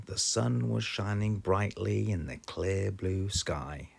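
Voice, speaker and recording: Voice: low-pitched, gravelly, a little mellow, husky. A storybook narrator with a British accent, reading aloud expressively for children.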